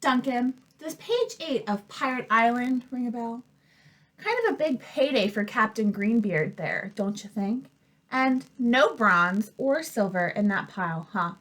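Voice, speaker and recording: An exasperated, worn-down crayon in a picture book, acted out by a woman. It scolds with sarcasm and indignation. The voice is high and deliberate. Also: a bit bright. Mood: exasperated, sarcastic.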